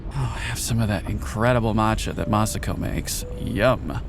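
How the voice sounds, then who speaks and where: A man's voice: medium pitch, darkish tone, slight background noise, close to an everyday voice. An actor playing a tender, devoted middle-aged family man, speaking in a sincere, reflective and lightly humorous way. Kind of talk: heartfelt voice acting, journal narration. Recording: voice over background music.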